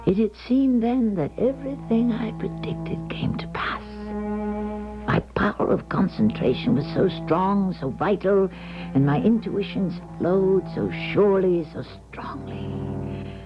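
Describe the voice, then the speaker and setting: An actress playing a grand, weary older clairvoyant in a radio drama, speaking in a measured, solemn, theatrical way. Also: female voice, mid-range voice, very animated. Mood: reflective. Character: grand, weary clairvoyant woman recalling her gift. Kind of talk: 1970s radio drama acting and narration. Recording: muffled 1970s radio broadcast, music behind.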